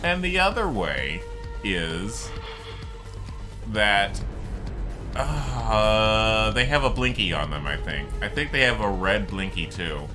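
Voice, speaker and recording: Slow-paced man casually explaining a game. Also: fairly low pitch, sing-song, music in the background. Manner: rambling, explanatory. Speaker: game streamer. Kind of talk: casual game commentary for a live stream.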